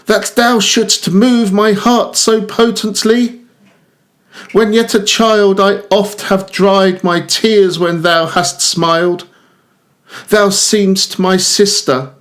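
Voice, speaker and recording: A man's voice: sits high, pitched above the performer's own voice. A man voicing a lovestruck young man who rapturously addresses the moon in declaimed verse. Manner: ardent, declaimed. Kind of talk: poetic monologue in verse recital.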